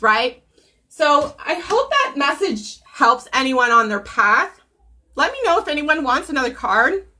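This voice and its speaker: A woman giving a casual live tarot reading. She is hoarse from a cold, clears her throat often, and uses many ums. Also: high-pitched, bright, forceful tone. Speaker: tarot reader.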